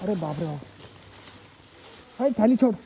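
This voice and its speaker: A man's voice: high voice, expressive, unhurried, dark tone. A young man chatting informally to viewers in a casual vlog as he rides. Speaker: motovlogger. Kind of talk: casual vlog narration while riding. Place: outdoors, riding a motorbike through streets. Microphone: phone mounted on rider. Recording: very noisy outdoor motorbike engine noise.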